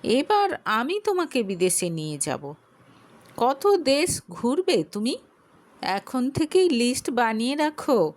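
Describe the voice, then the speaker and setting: A woman narrating a romantic audio story, reading slowly and expressively. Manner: slow, expressive reading with lively pitch.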